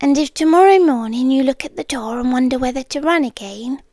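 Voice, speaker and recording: A British woman playing a possessive, softly menacing yandere queen in an ASMR roleplay, speaking in a soft, breathy voice that often drops to a whisper, close to the mic. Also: high voice, no echo, lightly acted. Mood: possessive, quietly menacing. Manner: slow, deliberate, intimate. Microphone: close, dry microphone.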